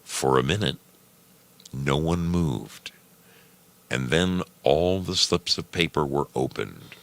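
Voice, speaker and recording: A man narrating a short story as a program's storyteller, deliberate and theatrical in his delivery. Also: extremely low pitch, creaky, no room sound.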